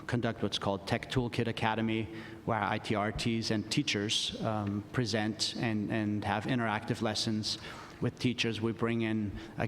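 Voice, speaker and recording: A man speaking on an education panel in a large conference room. His voice sits a little low, with a long hall reverb behind it and a faint rumble. He talks in a loose, relaxed way, with plenty of ums and restarts. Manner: relaxed, many fillers and restarts. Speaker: school division administrator. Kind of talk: panel discussion answer.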